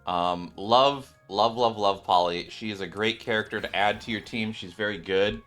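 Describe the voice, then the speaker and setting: Low-voiced man doing casual game commentary. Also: normal speed. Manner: excitable, exclamatory, repeats phrases. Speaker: gaming channel host. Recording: music behind the voice.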